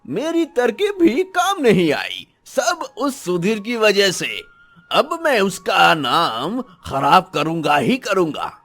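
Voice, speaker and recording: A man's voice: high-pitched, wildly swooping pitch, slow, fairly bright tone, soft background music, exaggerated voice. A man playing an emphatic, melodramatic vegetable seller in a moral-story cartoon. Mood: spiteful. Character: scheming, jealous male vegetable seller, later remorseful.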